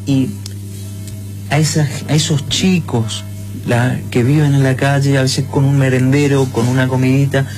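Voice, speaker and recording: A man co-hosting a radio show, speaking in a reflective, halting way as he searches for his words. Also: medium pitch, a little mellow. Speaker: radio co-host. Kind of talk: casual radio chat.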